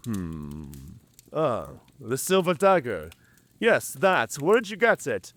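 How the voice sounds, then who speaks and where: A man's voice: mid-range voice, huge pitch swings, takes it slowly, fairly bright tone, no echo, heavily acted. A man playing a wounded, wry, talkative werewolf in human form, theatrical and bantering, in an audio drama. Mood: amused, teasing. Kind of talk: cinematic audio roleplay acting.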